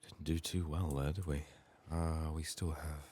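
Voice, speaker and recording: Very deep, soft-spoken man, half whispering. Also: steady tone, husky. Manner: unhurried, musing to himself. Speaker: gaming streamer, ASMR host. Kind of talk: soft-spoken ASMR game commentary.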